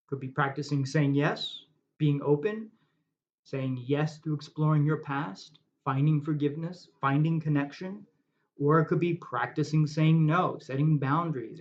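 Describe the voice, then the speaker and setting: Male meditation guide, slightly high voice, calm and measured, on a muffled lo-fi recording. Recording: dull lo-fi recording.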